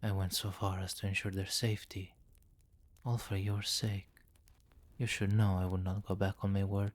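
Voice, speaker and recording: A man playing a possessive, commanding vampire king in an intimate ASMR fantasy roleplay, speaking formally and deliberately, with courtly, doting authority. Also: deep voice, flat delivery, close to an everyday voice. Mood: exasperated, reassuring.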